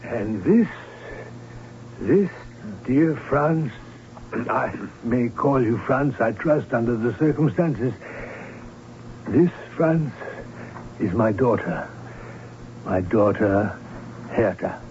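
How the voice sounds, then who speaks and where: A man's voice: medium pitch, animated, slow, pauses often, a little mellow. An actor playing a proud, fond baron father with a formal, theatrical manner in an old-time radio drama. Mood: effusive, joyful. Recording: dull, noisy old radio recording.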